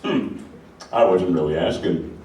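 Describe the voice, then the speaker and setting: A man's voice: low-pitched, big pitch swings, unhurried, mellow. A man playing an officious, easily panicked mall security guard in a live radio play. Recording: very echoey hall, far mic, noisy.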